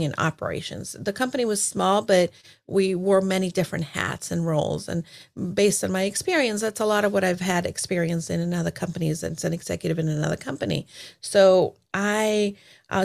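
Gravelly-voiced woman life coach. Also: fairly low pitch. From El Salvador. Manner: reflective, rambling with fillers and restarts. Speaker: guest, certified life coach. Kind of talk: casual interview conversation.

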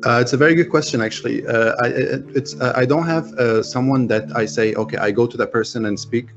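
A man's voice: medium pitch, fairly even intonation, brisk, lo-fi sound, music in the background. A man from Egypt, a French speaker since school, talking earnestly as an interview guest, with many restarts. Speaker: interview guest, business owner. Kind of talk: casual interview talk.

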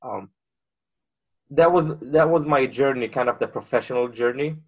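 A man in his thirties, from Lebanon, talking casually as an interview guest and pausing often on filler sounds. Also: medium pitch, muffled recording. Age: 30s. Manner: halting, many filler uhs.

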